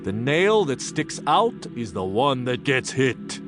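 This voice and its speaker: A man voicing a stubbornly honest Japanese immigrant farmer father who gives measured instruction in an audio drama. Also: mid-range voice, sing-song, music in the background. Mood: solemn.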